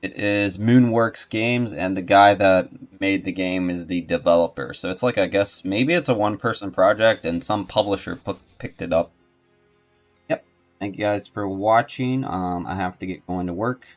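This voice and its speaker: A man's voice: sits low, normal speed. A man doing casual livestream game commentary, rambling and thinking aloud as he goes. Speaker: video game streamer and host. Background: game music.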